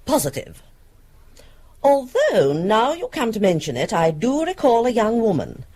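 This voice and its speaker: A woman's voice: a little high, huge pitch swings, measured pace, mellow, breathy edge, heavily acted. A woman acting a prim, fussy middle-aged housewife in a radio drama, speaking formally and precisely. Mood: certain, prim.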